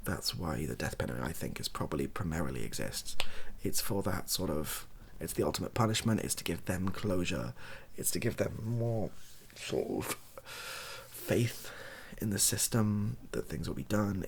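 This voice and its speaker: A young man speaking softly and breathily in a casual, rambling monologue, hesitant and self-deprecating as he gives his opinions. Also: deep voice, expressive, normal speed, slight background noise. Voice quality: soft, husky, breathy. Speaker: online video creator giving opinions.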